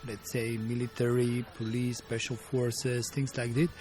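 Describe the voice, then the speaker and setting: A man in his fifties from Bulgaria, speaking English with a Bulgarian accent as a martial arts teacher being interviewed, pausing often to search for words. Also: mid-range voice, level tone, a little mellow, soft background music. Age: 50s. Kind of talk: interview storytelling.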